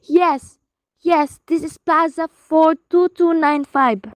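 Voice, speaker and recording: A woman acting as an anxious invalid wife with a high voice and slow, dramatic delivery. She is fretful and demanding, pleading in radio play style, recorded close and dry. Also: steady tone, dry recording. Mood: expectant.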